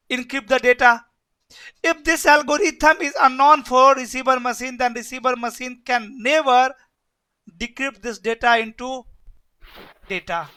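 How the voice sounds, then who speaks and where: Bright-voiced man teaching networking. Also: very high voice, clean tone. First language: Hindi. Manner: repetitive, keeps asking "yes or no?". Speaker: networking instructor. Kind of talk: live online class lecture.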